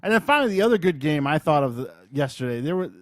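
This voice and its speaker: A man's voice: fairly high pitch, sing-song, fast, dry recording. A man co-hosting a podcast, talking sports in an informal, opinionated, conversational way. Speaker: podcast co-host. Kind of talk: casual sports chat.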